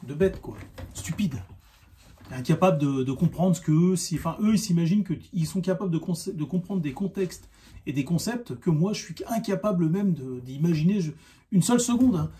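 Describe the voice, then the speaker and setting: A man giving an unscripted, argumentative opinion monologue, insistent and halting, often repeating himself. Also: a little high, animated, dark tone. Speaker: online video commentator.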